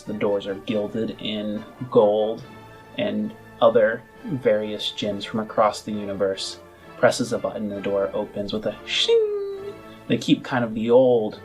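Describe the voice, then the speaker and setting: Slow, low-voiced man narrating a game. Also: darkish tone, low-quality recording. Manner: halting, measured phrases. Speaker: game master. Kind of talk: improvised tabletop game narration.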